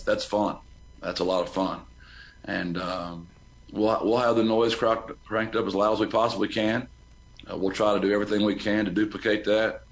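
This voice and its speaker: College football coach, American man, lowish voice, speaking casually as in an interview.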